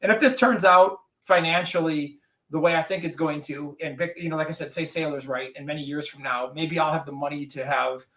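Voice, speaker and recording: A man's voice: on the high side, steady tone, brisk, a bit bright. Man in his forties, echoey and distant. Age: 40s. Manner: earnest, rambling, with frequent restarts. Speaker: business owner. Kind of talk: candid podcast conversation. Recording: roomy echo, distant from mic.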